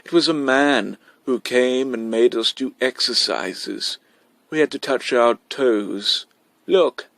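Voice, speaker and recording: A man voicing a cheeky, boastful kindergarten boy, loud and excitable, as a storybook character. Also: medium pitch, takes it slowly, vocal fry, no room sound. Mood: scornful, showing off. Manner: insolent, excitable.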